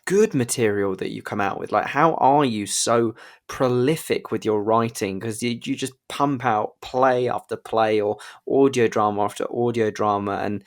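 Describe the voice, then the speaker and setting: A man's voice: on the low side, moderate pace. A man hosting a casual interview, enthusiastic and encouraging, feeling his way through roundabout questions. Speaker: interviewer, podcast host.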